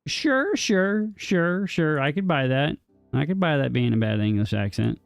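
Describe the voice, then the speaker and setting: A man's voice: fairly high pitch, expressive, measured pace, gravelly, smooth, no echo, soft background music. A man chatting casually with his viewers during a live game stream, rambling with fillers and restarts. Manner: rambling, answers chat with many ums and restarts. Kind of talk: casual chat with viewers while playing.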